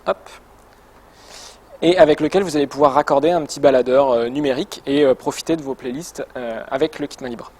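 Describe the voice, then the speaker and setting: Male presenter, medium pitch. Informal demo delivery, explanatory and conversational, slightly hesitant, unscripted feel. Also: fairly quick, a little mellow. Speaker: product presenter for a motorcycle gear shop. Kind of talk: informal product demonstration, semi-improvised.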